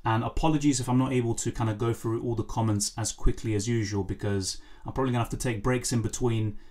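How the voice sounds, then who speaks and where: A man with a hoarse, lost voice, casually chatting on a live stream and answering viewer questions over a faulty microphone. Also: mid-range voice, fairly even intonation, quick pace, darkish tone. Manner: apologetic, rambling, answers chat questions. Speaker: fantasy football streamer. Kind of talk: casual live stream chat, reading comments.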